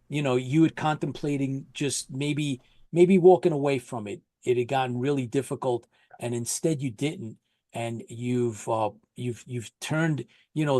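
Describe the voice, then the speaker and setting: A man's voice: medium pitch, darkish tone, no room sound, clean studio sound. A man hosting a casual live web show, talking in a loose, rambling way with many fillers and restarts. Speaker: show host. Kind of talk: casual livestream chat.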